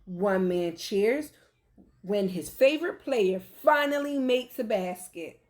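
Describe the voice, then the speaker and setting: A woman reading a picture book aloud like a teacher, with animated, deliberate delivery and questions for young listeners. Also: medium pitch. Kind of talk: expressive children's read-aloud with questions to listeners.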